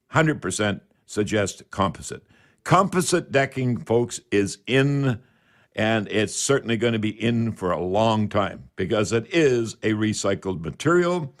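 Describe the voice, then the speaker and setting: A Canadian man hosting a home improvement radio show, talking in a folksy, emphatic way and repeating himself to drive points home. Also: fairly low pitch. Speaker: radio call-in show host. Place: radio studio.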